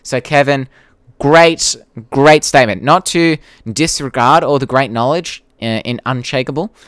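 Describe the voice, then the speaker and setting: A man's voice: mid-range voice, unhurried, fairly bright tone, clean tone, no echo, clean studio sound. A young Australian man hosting a casual live Q&A, chatting to viewers and reading their comments in a blunt, informal, sweary way. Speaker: YouTube creator hosting a live Q&A.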